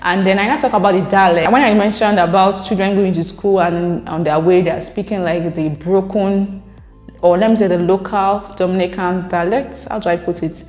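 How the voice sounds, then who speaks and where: A young woman with a Nigerian accent talks to her viewers in a relaxed, chatty way and explains her points at length. Her voice sits low for a woman. The recording is roomy, with a long reverb trailing each phrase. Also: normal speed. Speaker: vlogger reading viewer comments. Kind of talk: casual chat to camera. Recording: echoey room with long reverb.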